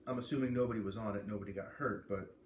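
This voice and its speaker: A man doing an expressive storybook read-aloud, pausing to explain and comment as he goes. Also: lowish voice. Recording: echoey room with clear background noise.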